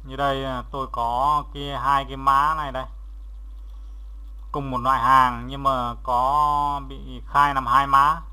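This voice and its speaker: A man narrating a step-by-step software tutorial as an instructor, in a halting, instructional way, repeating steps as he goes. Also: mid-range voice, flat delivery, clear, resonant tone.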